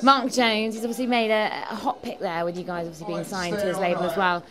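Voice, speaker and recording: A woman's voice: medium pitch, fairly even intonation, quick pace. A woman doing a casual music event interview in a chatty, informal way. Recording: loud music and crowd behind. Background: loud music, crowd at a live event.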